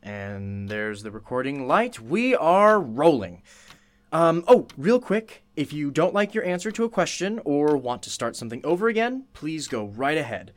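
A man's voice: a little high, very animated, moderate pace. A man playing a chatty, courteous radio show host interviewing a witness in a radio play, effusive and apologetic. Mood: chatty, upbeat.

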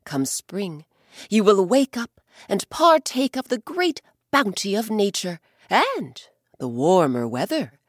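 A woman's voice: mid-range voice, sing-song, takes it slowly, dry recording, studio quality. A woman voicing a grand, kind male sky god in a children's story, proclaiming solemnly.

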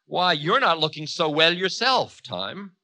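A man's voice: fairly high pitch, sing-song, a little slow, bright, forceful tone, no room sound, pitched above the performer's own voice, exaggerated voice. A man voicing a mocking, fearless demon king who makes scornful, grandiose declarations in a mythological story.

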